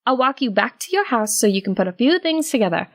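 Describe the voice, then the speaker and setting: Woman voicing a high-pitched, exasperated young mare. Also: sing-song, fast. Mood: insistent. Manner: exasperated, insistent, stammering. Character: scolding, fussing young female pony musician friend.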